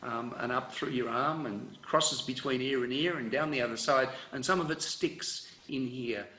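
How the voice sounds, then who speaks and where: A man's voice: mid-range voice, moderate pace, reverberant, slight background noise, rough recording. Australian man giving a rambling lecture. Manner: relaxed, rambling lecture with many fillers and restarts. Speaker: education professor. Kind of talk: online conference presentation.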